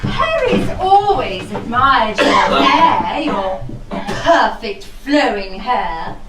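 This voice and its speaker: Casting: actress as sly gloating young lady, period stage farce, arch drawn-out delivery, highish voice, slightly distant. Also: sing-song, fairly bright tone, exaggerated voice. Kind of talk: theatrical comic costume-drama verse. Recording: echoey room, slightly distant.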